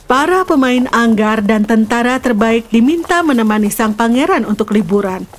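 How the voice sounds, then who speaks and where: A woman's voice: on the high side, animated, normal speed. A woman storyteller giving a scripted narration of a fairy tale. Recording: music behind the voice. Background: background music.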